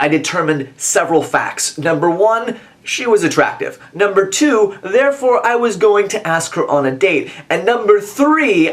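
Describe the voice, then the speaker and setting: Young man, American accent, slightly high voice, comic storytelling, animated and emphatic, vlogger. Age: 20s. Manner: animated, emphatic delivery with dramatic mimicry. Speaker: video blogger and motivational speaker. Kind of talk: comic scripted storytelling to camera.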